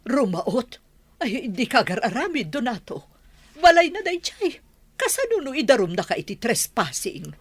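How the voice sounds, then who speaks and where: A woman's voice: fairly high pitch, very animated, moderate pace, slightly rough. A woman with an Ilocano accent playing an anxious, cautious middle-aged mother in a radio drama, hesitant and fretful. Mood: anxious warning.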